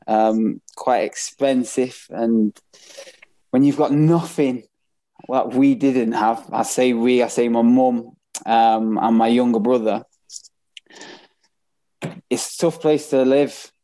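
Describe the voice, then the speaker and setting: A man's voice: medium pitch, fairly even intonation, slow pace, pauses often, mellow, low-quality recording. A man with a Manchester accent, speaking candidly and reflectively as he tells his life story as an interview guest. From England, born in Bath and later moved north. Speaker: interview guest, professional footballer.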